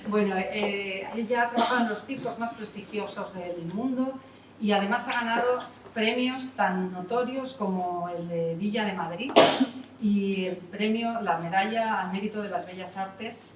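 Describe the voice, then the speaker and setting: A woman's voice: mid-range voice, steady tone, normal speed, distant mic, noisy background. Woman introducing, echoey hall. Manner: chatty, with many fillers and self-corrections. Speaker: artistic director and moderator. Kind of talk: introducing a conference panel. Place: theatre hall.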